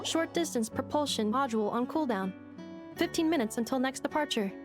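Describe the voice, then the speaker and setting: A woman's voice: sits high, lively intonation, a little fast, music in the background. An actress voicing a polite, matter-of-fact female delivery robot in a video game, speaking precisely. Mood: neutral, efficient. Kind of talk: video game dialogue acting.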